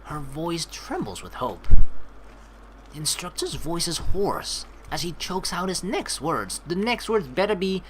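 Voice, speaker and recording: Playful, animated low-voiced woman gamer. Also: unhurried, a little hiss or hum, low-quality recording. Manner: playful, chatty, reacts to the story. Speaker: let's play host. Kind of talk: game playthrough, reading narration aloud with casual commentary. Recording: game music behind voice.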